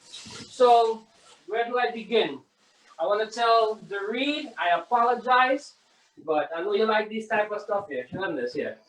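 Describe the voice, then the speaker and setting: A woman's voice: mid-range voice, expressive, a little slow. Chef, female, chatty and easygoing. Recorded from a distance in an echoey room. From Trinidad.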